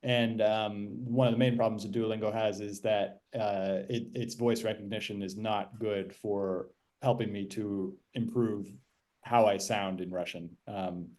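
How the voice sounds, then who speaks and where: A man's voice: fairly low pitch, steady tone, unhurried, a little mellow. A man hosting a podcast, asking questions and thinking aloud in casual conversation. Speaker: podcast host.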